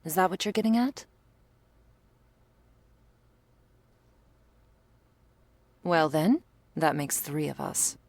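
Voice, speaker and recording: A woman's voice: low-pitched, animated, normal speed, darkish tone, no echo, full character voice. A woman playing a teasing, doting female vampire lover in an intimate ASMR roleplay, with whispery asides and quaint, affectionate wording. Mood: playful, affectionate. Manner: breathy asides, pet names, quaint turns of phrase.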